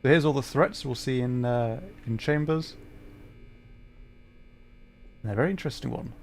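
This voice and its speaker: A man's voice: mid-range voice, moderate pace, a little mellow, a little hiss or hum. A man livestreaming a game, chatting informally to viewers and explaining as he plays. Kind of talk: casual livestream commentary with chat.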